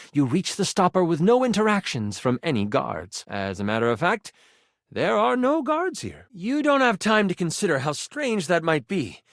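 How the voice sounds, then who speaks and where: A man playing a living twenty-sided die as an excitable, theatrical game master narrating a fantasy tabletop adventure in a video game. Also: a little high, dry recording, clean studio sound. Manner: dramatic, expressive, playful. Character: excitable male D20 die personified as dramatic game master.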